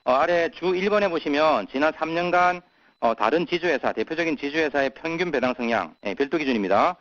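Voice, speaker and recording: Man, company executive, halting delivery, filler sounds, earnings call, muffled audio. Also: on the high side, animated, a bit bright. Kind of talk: earnings call presentation and answers.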